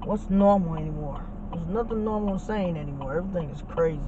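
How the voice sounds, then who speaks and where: A man's voice: high voice, fast, muffled recording. A man talking casually to his viewers while driving a truck, with hype slang and swearing, recorded on a dashboard camera. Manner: loud hype talk with slang and profanity. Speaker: vlogger. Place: inside a moving truck. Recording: loud road and engine noise.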